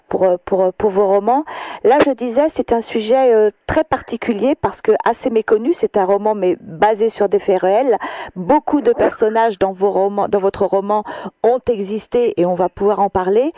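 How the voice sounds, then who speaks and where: A woman presents a literary radio show in a formal, courteous way. Her voice is mellow and relaxed. The sound is dry, with almost no room around it. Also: mid-range voice, no room sound. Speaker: host of a literary radio programme. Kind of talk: radio interview introduction.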